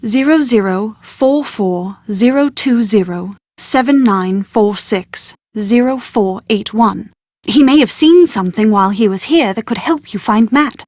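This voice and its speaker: An actress playing a chatty, anxious young British woman in a video game, with a posh, expressive and wordy way of talking. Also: on the high side, unhurried, dry recording, clean studio sound. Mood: matter-of-fact. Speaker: voice actor in an adventure game. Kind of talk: scripted video game character dialogue.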